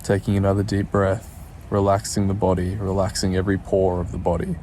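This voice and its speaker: A man leading a guided meditation in a soothing, unhurried way, leaving pauses between short instructions. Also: low voice, completely flat delivery, dark tone, slight background noise.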